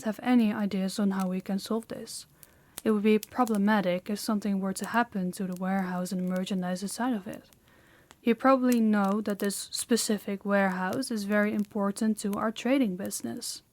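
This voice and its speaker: A woman playing a dominant, stern mafia boss in an audio roleplay, speaking formally and deliberately with authority. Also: mid-range voice, steady tone, a little mellow, lightly acted. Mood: composed, businesslike.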